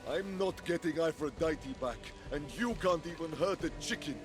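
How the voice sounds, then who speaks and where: An actor voicing a sulky, self-pitying war god trapped in a chicken's body, melodramatic and bitterly sarcastic, in a video game cutscene. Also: male voice, on the high side, normal speed, music in the background, close to an everyday voice. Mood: bitter, miserable.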